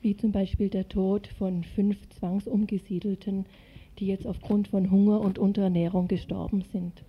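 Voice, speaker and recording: Medium-pitched woman narrating on a dull recording. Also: little pitch movement, moderate pace, slightly rough. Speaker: activist radio contributor reading a report. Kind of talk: scripted news feature narration. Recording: dull, band-limited radio recording.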